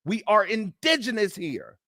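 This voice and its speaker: A man's voice: high voice, sing-song, normal speed, pushed and bright, no room sound, studio quality. A man hosting a livestream, delivering fiery, emphatic and profane preaching-style commentary and thanking donors by name. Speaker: livestream host.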